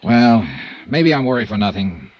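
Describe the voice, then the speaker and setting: An actor playing a tough, wry insurance investigator in a 1950s radio drama, terse and persistent. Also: male voice, mid-range voice, lively intonation, moderate pace, lo-fi sound, lightly acted. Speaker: investigator and narrator.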